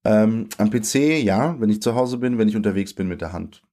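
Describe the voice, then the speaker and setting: Deep mellow-voiced guy in his late 20s, musing out loud, asks himself questions as he goes. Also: expressive, brisk. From Germany. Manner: thoughtful, poses rhetorical questions to himself. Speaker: poet being interviewed. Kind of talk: reflective conversation.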